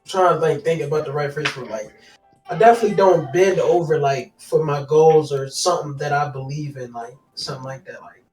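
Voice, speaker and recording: A man in casual podcast chat who talks haltingly, searching for the right words and swearing casually. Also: fairly high pitch, darkish tone, faint music behind. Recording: echoey, distant from the mic.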